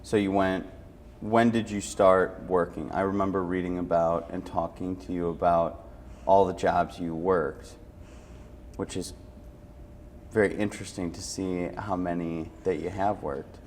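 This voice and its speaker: A man's voice: sits low, little pitch movement, a little slow, a little mellow, a little hiss or hum. A man interviewing a guest conversationally as host, encouraging and interested as he asks his questions.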